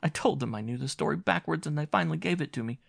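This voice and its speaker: A man's voice: a little high, animated, quick pace, creaky, no echo, studio quality, pitched above the performer's own voice, full character voice. A man voicing a faded, self-pitying middle-aged actress who talks in melodramatic wails. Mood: pleading. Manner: wailing, melodramatic.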